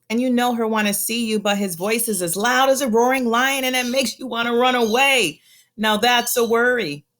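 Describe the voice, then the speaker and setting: A woman reading a children's picture book aloud as a storyteller, with expressive, deliberate phrasing and drawn-out emphasis on key words. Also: medium pitch.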